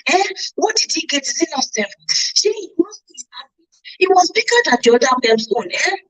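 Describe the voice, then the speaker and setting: A woman's voice: extremely high pitch, sing-song, brisk, a bit bright, heavily acted, poor audio. A woman with a Nigerian accent playing a protective, consoling mother in a radio drama. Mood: pleading concern. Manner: pleading, reassuring. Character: protective, consoling mother defending her son.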